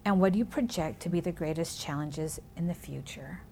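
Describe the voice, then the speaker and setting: Woman host, spanish was her first language, lowish mellow voice, thoughtful and halting, faint rumble behind. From California, raised in Minnesota. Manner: reflective, many restarts and "you know"s. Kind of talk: conversational interview about education.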